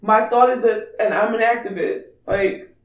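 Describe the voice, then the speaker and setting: A man with a raspy voice chatting candidly and emphatically as a podcast co-host. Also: sits high, steady tone, normal speed. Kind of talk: casual opinionated podcast chat. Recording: distant mic in echoey room.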